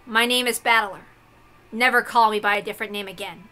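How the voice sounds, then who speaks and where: A woman voicing a stern, gloomy young man who speaks softly but firmly as a dignified game master in a visual novel. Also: medium pitch, steady tone, fairly quick, close to an everyday voice. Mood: cold, stern. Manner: soft but forceful, solemn, curt.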